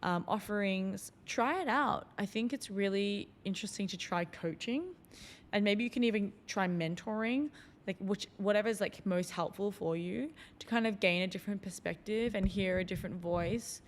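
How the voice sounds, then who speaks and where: A woman's voice: mid-range voice, normal speed, in a large hall, slight background noise. A woman hosting a solo podcast, talking in a loose, reflective way with lots of fillers. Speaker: podcast host.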